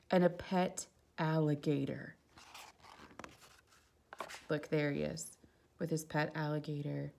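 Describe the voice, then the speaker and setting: A woman reads a picture book aloud for children in a low voice with noticeable creak. Her delivery is expressive, and she shifts between storybook narration and casual remarks on the pictures. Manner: expressive, exclaiming softly at the pictures.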